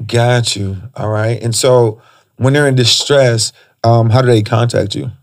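A man's voice: mid-range voice, fairly even intonation, unhurried. Podcast host, man, easygoing, teasing, slangy questions. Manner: joking, teasing, loose slang. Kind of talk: casual podcast interview.

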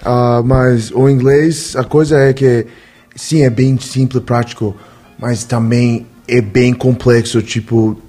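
Halting foreign man with a dark, mellow voice. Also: mid-range voice, fairly even intonation, soft background music. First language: not Portuguese. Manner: halting learner's Portuguese, searching for words. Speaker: guest. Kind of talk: casual podcast chat.

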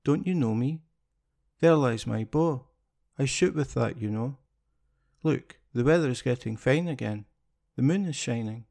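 A mischievous little boy from a storybook, put on by a male reader, cheeky and boastful in a grainy, gravelly tone. The playful lines come in a bright, teasing manner. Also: mid-range voice, unhurried, pauses often.

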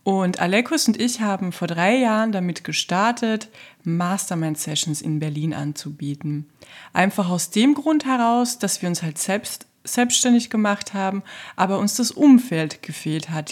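A woman's voice: medium pitch, normal speed, darkish tone. A woman from Austria, co-hosting a podcast and chatting in a friendly, upbeat way about her path. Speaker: podcast co-host. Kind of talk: casual podcast chat.

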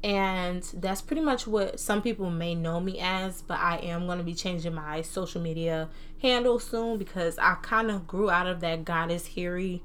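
A woman's voice: fairly low pitch, normal speed, hardly any pauses, a little hiss or hum. A young woman from New Jersey with a mixed Jersey and Southern American accent, chatting casually and animatedly as a solo podcast host. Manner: animated, informal, slangy. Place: own room at home.

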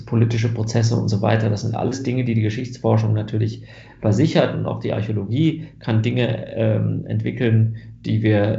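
A man's voice: on the low side, gravelly, warm tone, roomy sound, muffled recording. A German man answering interview questions about genetics research, thoughtful, with many fillers and restarts.

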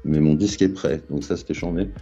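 A man's voice: extremely low pitch, steady tone, a little fast, warm tone, husky, muffled recording, soft background music. A middle-aged man from France, a guest telling his story in a rambling, casual way with slang and many restarts. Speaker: guest, graffiti artist and rapper. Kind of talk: casual interview talk.

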